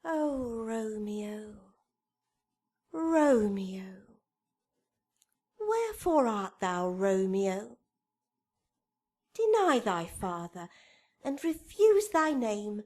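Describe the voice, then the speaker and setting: An actress playing a young lovestruck noblewoman in a Shakespearean radio drama, speaking measured verse. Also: on the high side, a little mellow. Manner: slow, measured verse delivery.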